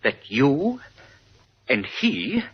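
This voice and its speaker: An actor playing a blustering, lovesick, wealthy colonel in a melodramatic, sputtering 1950s radio style. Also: male voice, mid-range voice, huge pitch swings, extremely slow, slightly rough, exaggerated voice. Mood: indignant, threatening. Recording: dull, hissy 1950s radio recording.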